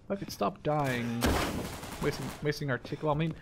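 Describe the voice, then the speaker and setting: A man's voice: on the high side, animated, brisk, heavy background noise. A man doing casual video game commentary in a rambling, profane, easily distracted way. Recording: gunfire and clicks behind the voice. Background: video game gunfire.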